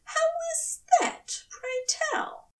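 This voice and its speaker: A woman's voice: extremely high pitch, fairly even intonation, extremely slow, pushed and bright, distant mic, voice pushed up, full character voice. A woman voicing a prim, indignant and hurt male angel in a dramatic audio story. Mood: grudging, annoyed. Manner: prissy, indignant, old-fashioned turns of phrase.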